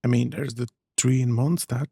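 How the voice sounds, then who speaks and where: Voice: mid-range voice, steady tone, moderate pace, creaky, dark tone. A streamer giving casual, understated, slightly shy commentary while playing a game.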